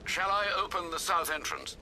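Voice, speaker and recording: An actor playing a dutiful elderly butler in a video game, with a British accent and polite, precise manner. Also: male voice, sits high, huge pitch swings, normal speed, bright, forceful tone, slight background noise, exaggerated voice.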